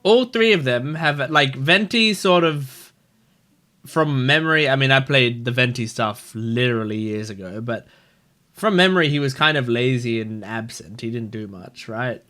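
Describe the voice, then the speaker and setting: A man's voice: medium pitch, normal speed, pushed and bright. A man giving informal gameplay reaction commentary, musing aloud and swearing now and then. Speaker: gaming video host.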